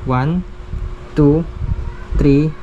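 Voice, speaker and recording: A man's voice: on the high side, steady tone, drawn-out words, warm tone, muffled recording, a little hiss or hum. A man giving a casual, polite step-by-step product tutorial as a gear reviewer. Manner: polite, addressing viewers respectfully.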